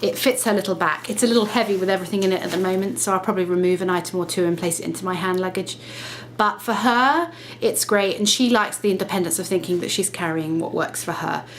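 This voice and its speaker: A woman's voice: lowish voice, a little hiss or hum. A woman vlogging to camera, chatty and explaining things step by step, sounding slightly nasal from a cold. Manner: chatty, self-correcting, brisk explaining. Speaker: lifestyle vlogger. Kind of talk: casual talk to camera.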